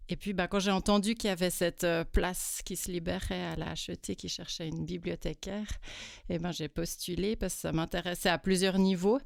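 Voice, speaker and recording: A woman, a librarian chatting on a podcast, gentle and hesitant with many fillers. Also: on the low side, fairly even intonation, fairly quick, gravelly, dry recording, studio quality. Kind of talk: casual podcast chat. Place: studio.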